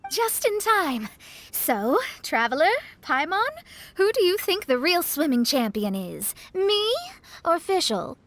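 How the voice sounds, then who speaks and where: A woman voicing a self-assured young lady in a video game, expressive and deliberate. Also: sits high, heavily acted. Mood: competitive.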